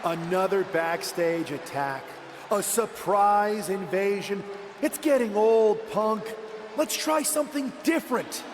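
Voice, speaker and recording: A man's voice: high voice, expressive, slow, big-room sound, a little hiss or hum. An actor playing a brash, combative male wrestler in a video game cutscene, mocking his rival. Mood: threatening.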